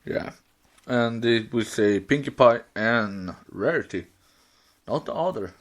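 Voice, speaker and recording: A man's voice: mid-range voice, expressive, takes it slowly. A man with a Swedish accent chatting casually as a podcast co-host, searching for words in non-native English. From Sweden. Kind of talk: casual chat.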